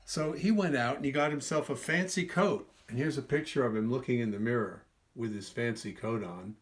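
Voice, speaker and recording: A male storyteller with a medium-pitched voice reads a picture book aloud to children. He stretches words for emphasis and steps out of the tale to add small explanations. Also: fairly quick. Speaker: storyteller reading his own book.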